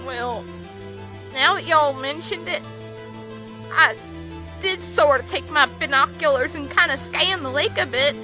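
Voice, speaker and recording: Shrill, brash Southern country gal. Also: female voice, a little slow, long pauses, bright, forceful tone, faint music behind. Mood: sheepish. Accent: American South. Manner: folksy, brash, indignant drawl. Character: feisty young Southern country woman on witness stand. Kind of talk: fan audio drama voice acting.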